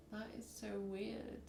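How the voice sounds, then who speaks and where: A woman with a husky voice, reading tarot in a chatty, rambling way and acting out little scenes as she goes. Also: medium pitch. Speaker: tarot reader. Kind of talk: casual tarot reading to camera. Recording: echoey room.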